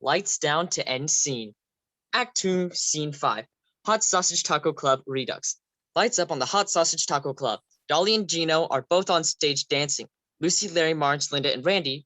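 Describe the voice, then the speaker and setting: A woman reading out stage directions in a plain, matter-of-fact voice. Her voice is low, and the recording is dry and close, with no room sound. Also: moderate pace, a bit bright, no room sound.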